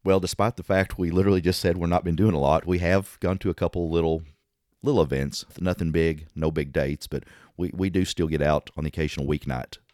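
Man, hoarse, raspy, very low voice, casual podcast chat, dry close sound. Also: fairly even intonation, a little fast, darkish tone, no room sound. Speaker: podcast co-host.

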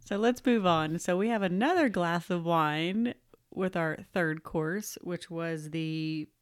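Woman co-host, lowish voice, easygoing chat. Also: takes it slowly, clear, resonant tone, no echo, clean studio sound. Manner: relaxed, laughs along. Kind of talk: casual chat.

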